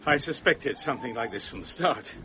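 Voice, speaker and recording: An actor playing a vain, blustering prefect of police in a radio drama, pompous and irascible in manner. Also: male voice, mid-range voice, animated, brisk, natural voice. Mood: self-satisfied. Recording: dull lo-fi audio with clear background noise.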